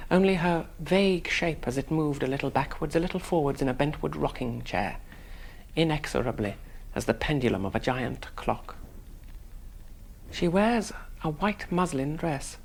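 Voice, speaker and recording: An actor playing a wry, eloquent young English gentleman hero, acting and narrating in a seventies radio drama. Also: a little high, moderate pace, gravelly, low-quality recording. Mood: fascinated narration. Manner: wry, articulate, animated.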